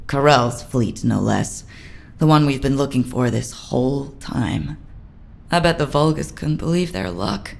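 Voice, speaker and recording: Female military captain, very gravelly, low-pitched, bitter, guilt-ridden, dramatic video game acting. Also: animated, measured pace, darkish tone, heavily acted. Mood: bitter, haunted. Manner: bitter, self-reproaching.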